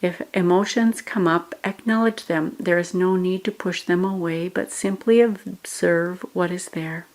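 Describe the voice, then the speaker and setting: A woman with a slightly low voice leads a guided meditation. She speaks very slowly, in measured, instructional phrases. Pauses separate the short phrases.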